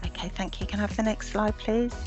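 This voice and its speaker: A British woman presenting slides in a webinar over a video call, measured and halting with frequent ums and restarts, her voice going hoarse. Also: mid-range voice, fairly even intonation, lo-fi sound, music in the background. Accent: British English. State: losing her voice.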